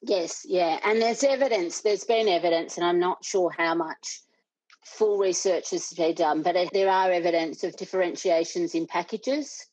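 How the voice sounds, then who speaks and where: A woman's voice: on the low side, moderate pace, darkish tone, dry recording, rough recording. A middle-aged Australian woman, a parent and board member, speaking earnestly in an interview with many restarts. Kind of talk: conversational interview.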